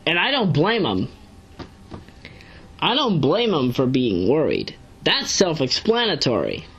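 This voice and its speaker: A woman giving casual, unscripted commentary as an online reviewer, rambling and halting as she corrects herself. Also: sits low, wildly swooping pitch, slightly rough, dull audio. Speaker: online video reviewer and narrator. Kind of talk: casual unscripted commentary recapping a web series.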